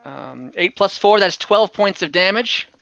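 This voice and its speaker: A game master narrating a tabletop role-playing game informally, thinking out loud while tallying dice over an online call. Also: medium pitch, animated, measured pace, gravelly, dull audio, slight background noise. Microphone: online group call.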